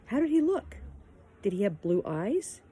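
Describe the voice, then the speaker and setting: A woman's voice: mid-range voice, big pitch swings, unhurried, mellow, slightly rough. A woman voicing a brash, playful young girl who tells tall tales in a bold, teasing way for a children's storybook. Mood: curious, decided.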